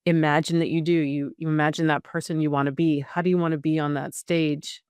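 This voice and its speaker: A woman's voice: deep voice, flat delivery, a little fast, darkish tone, clean tone, dry recording, clean studio sound. A middle-aged woman hosting a podcast interview, chatting in a conversational, encouraging way. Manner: conversational, encouraging, with frequent fillers and restarts. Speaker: podcast host. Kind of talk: casual interview chat.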